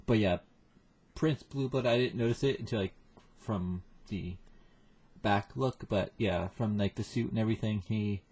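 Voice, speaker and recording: A man hosting a reaction video with casual, halting, self-deprecating commentary in a husky voice. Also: fairly low pitch, a little mellow.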